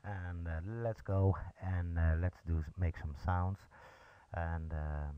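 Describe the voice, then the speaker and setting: A man with a low voice and a Dutch accent talks through a casual tutorial. He is laid-back and hesitant, rambling from point to point. The recording is dull and lo-fi, like a simple home setup. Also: steady tone, slow pace, clear, resonant tone, no room sound. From Netherlands (Amsterdam). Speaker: music production tutorial host. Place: indoors at a computer, voice over screen recording.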